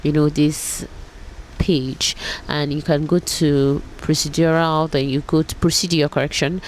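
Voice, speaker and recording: A woman with a low-pitched voice talks through a tutorial in a casual, explanatory way. She presents like a pilot vlogger, with faint noise behind her. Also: low-quality recording.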